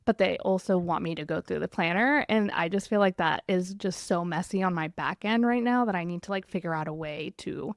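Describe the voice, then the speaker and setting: A woman's voice: fairly low pitch, brisk, hardly any pauses, no echo, clean studio sound. A young woman co-hosting a podcast, chatting casually and effusively in very informal speech. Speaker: podcast co-host. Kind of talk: casual chat between friends.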